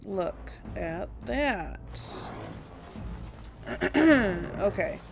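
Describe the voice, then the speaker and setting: A woman's voice: mid-range voice, expressive, extremely slow, creaky, music in the background. A woman hosting a casual gaming channel, thinking aloud and laughing as she plays. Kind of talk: casual gameplay commentary.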